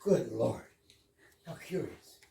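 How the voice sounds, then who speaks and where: A man acting a polite, formal husband in a stage reading of an absurdist comedy, deliberate and mock-astonished. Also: mid-range voice, animated, full character voice. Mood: formal, puzzled. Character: polite British husband, a formal gentleman puzzled by coincidences. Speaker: amateur readers theater actor. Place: live stage reading in a room. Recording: roomy, distant mic with clear noise.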